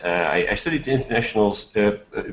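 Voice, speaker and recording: A man's voice: lowish voice, animated, quick pace, muffled recording, a little hiss or hum. Older man, echoey call audio. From Netherlands. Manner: rambling, hesitant. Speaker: panelist. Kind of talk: self-introduction on video call. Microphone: headset on video call.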